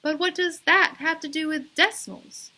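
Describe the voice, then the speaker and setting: A woman's voice: high-pitched, moderate pace, pushed and bright, pitched above the performer's own voice. A woman voicing a patient but weary woman schoolteacher with pointed questions, in a children's storybook read-aloud.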